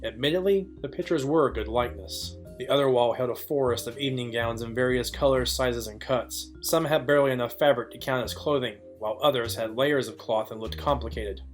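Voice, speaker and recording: A man's voice: mid-range voice, brisk, hardly any pauses, faint music behind, lightly acted. A man playing a dry, wry, hardboiled private detective, narrating and questioning in film noir style. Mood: wry narration. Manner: deadpan, wry.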